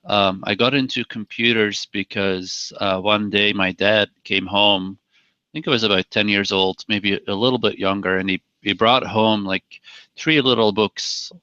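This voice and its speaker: A man in his thirties from Belgium, speaking relaxed in an interview with many ums and uhs. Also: fairly low pitch, a little slow, smooth, no echo. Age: 30s. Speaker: guest, software founder. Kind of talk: conversational interview. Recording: dull lo-fi dry audio.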